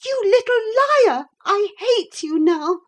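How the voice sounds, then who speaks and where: A woman's voice: high-pitched, animated, measured pace, dark tone, pitched above the performer's own voice. A woman voicing a hot-tempered young girl in an audiobook, passionate and crying out. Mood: enraged. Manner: passionate, cries out. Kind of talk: audiobook character reading.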